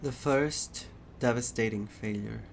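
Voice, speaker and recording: A man's voice: mid-range voice, unhurried, vocal fry, a little hiss or hum. A man doing playthrough commentary as a game streamer, reacting and reading along. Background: game music.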